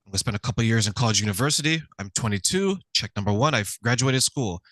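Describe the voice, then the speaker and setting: A man's voice: mid-range voice, quick pace, no echo. A man hosting an interview, chatting casually and joking, acting out little scenes with playful voices. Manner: humorous, animated storytelling with playful voices. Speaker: host.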